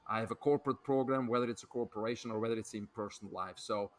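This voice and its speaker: A man's voice: lowish voice, steady tone, quick pace. A middle-aged man from Belgium with a Belgian accent, a guest on a podcast interview, telling his story fluently with frequent filler words. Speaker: guest, doctor and author.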